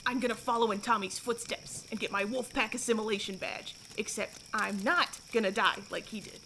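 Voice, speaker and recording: A woman's voice: on the high side, fairly even intonation, fairly quick, sharp tone, slight background noise. A woman playing a bloodthirsty, confident young boy scout in an audio drama. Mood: scheming.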